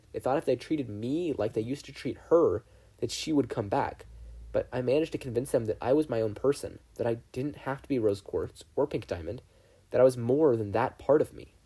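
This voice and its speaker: A man reads the lines of a young male friend in a storybook tale. He sounds sincere and caring, a little husky and raised above a plain narrating pitch. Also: warm tone.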